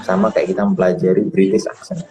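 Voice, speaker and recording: A man's voice: low voice, level tone, fast, crowd noise. A man from East Java giving a casual live-stream talk as an English teacher, explaining and repeating his points with rhetorical questions. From Kediri, East Java, Indonesia. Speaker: English teacher, guest speaker. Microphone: phone or laptop mic on a live video call.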